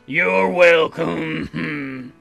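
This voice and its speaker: A man voicing a folksy, awkward colonist librarian in a visual novel, talking in a slow, halting drawl full of hums and throat-clearing. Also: a little high, fairly bright tone, faint music behind, exaggerated voice.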